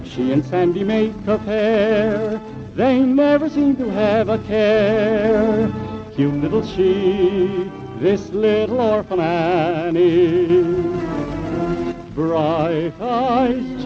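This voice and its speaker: High-voiced man singing a 1930s radio theme. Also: animated, slow pace, over music. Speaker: theme song singer. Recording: muffled old radio recording.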